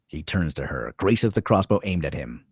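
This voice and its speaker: A man narrates a film script with a deep, raspy voice. His intonation swings widely through short, suspenseful phrases and dramatic pauses. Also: big pitch swings, no room sound, clean studio sound. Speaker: narrator reading stage directions.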